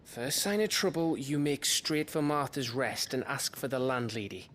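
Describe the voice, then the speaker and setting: A man playing a gruff, loyal scout in a video game, colloquial and grudgingly affectionate. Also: medium pitch, moderate pace, fairly bright tone, a little hiss or hum, lightly acted. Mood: wry, cautioning. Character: gruff, loyal working-class male scout, fond and awkward. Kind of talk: dramatic video game acting.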